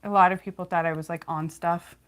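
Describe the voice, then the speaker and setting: A young woman with a slight lisp, chatting casually on a livestream, halting often with fillers and restarts. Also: lowish voice, steady tone. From California, United States. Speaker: livestream host.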